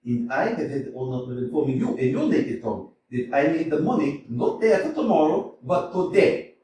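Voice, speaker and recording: Guy reading a booming bossy landowner, swings high and low, echoey hall from far back. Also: big pitch swings, brisk, slight background noise. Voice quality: booming, theatrical. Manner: shifts between shouting and mock-tender crooning. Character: blustering landowner, loud, scornful of women. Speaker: amateur actor in a staged reading. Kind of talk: staged play reading. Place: small community theater stage.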